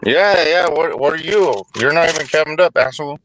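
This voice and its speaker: A man's voice: a little high, moderate pace, fairly bright tone, slight background noise, poor audio. A man streaming from a city street in casual chat, with a brash, taunting manner, recorded on a phone. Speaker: stream guest.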